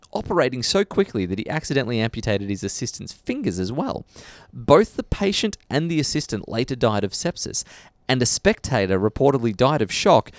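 A man's voice: mid-range voice, no room sound. A man co-hosting a podcast, telling jokey stories in casual chat. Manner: animated, jokey storytelling. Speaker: podcast co-host.

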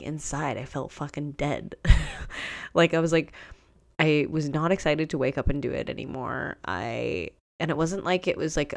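A young woman hosting a solo podcast, her voice carrying a gravelly fry. She sounds a little sniffly from a cold and talks in a frank, profanity-laced, rambling way. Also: low-pitched. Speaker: podcast host. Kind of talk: candid solo podcast monologue.

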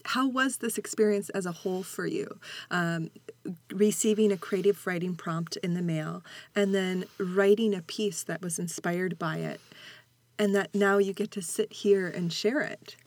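A woman's voice: medium pitch, measured pace, no room sound. A woman hosting a conversational podcast interview in an encouraging, attentive manner.

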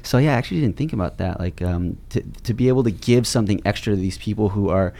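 A low-pitched young man hosting a podcast, with a gravelly, creaky edge to his voice. He talks in a relaxed, loose way, packing his rambling questions with fillers. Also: quick pace. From California. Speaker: podcast host. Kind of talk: casual interview chat.

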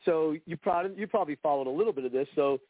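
Man phoning in to a radio show: rambling, repetitive casual talk in a somewhat high voice, dry and tinny over the line. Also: very fast, dry recording. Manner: rambling, repeats words while talking. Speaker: caller. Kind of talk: casual phone-in chat.

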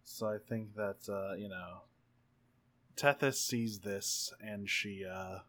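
Lowish-voiced man improvising game narration, unhurried. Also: fairly even intonation, a bit bright. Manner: halting, searching for words as he improvises. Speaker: tabletop game player.

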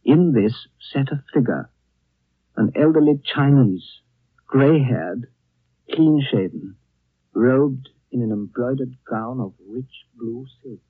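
An actor playing a grave, reflective storyteller, narrating a 1930s radio drama in deliberate, evocative phrases. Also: male voice, medium pitch, expressive, slightly rough, no echo. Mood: solemn, reflective. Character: grave, reflective storyteller recalling a friend's adventure. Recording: thin, tinny 1930s radio recording.

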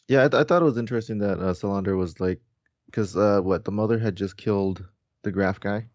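A man's voice: on the low side, brisk, darkish tone, no echo, muffled recording. A man co-hosting a podcast, recounting story details in a loose, informal way. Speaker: podcast co-host. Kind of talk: casual book recap discussion.

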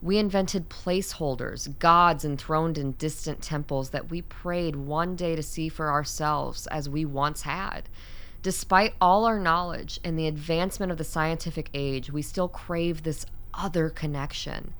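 A low-pitched actress playing a zealous woman scientist in a radio play. She is stern and authoritative, and speaks in deliberate, formal phrases with great earnestness. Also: fairly bright tone. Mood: solemn, persuasive.

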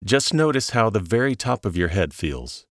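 A man narrating a guided relaxation in a calm, soothing, measured way, leaving long pauses between instructions. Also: on the low side, no room sound, clean studio sound.

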